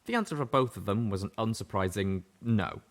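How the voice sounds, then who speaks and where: A man narrating a fan-fiction audiobook with a steady, even-paced reading voice. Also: on the low side, fairly quick. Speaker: audiobook reader. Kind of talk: scripted story narration.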